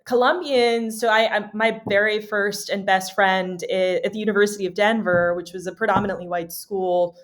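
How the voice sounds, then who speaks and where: A woman from Miami, a podcast guest, telling stories in a lively, animated way and laughing often. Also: mid-range voice, normal speed, few pauses. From Miami, USA. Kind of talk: casual storytelling chat.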